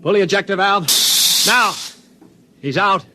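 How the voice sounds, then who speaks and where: An actor playing a quick-thinking, take-charge man, emphatic and dramatic, in a 1950s radio drama. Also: high-pitched. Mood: urgent. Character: quick-thinking, take-charge prisoner who narrates the story. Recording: noisy 1950s radio recording.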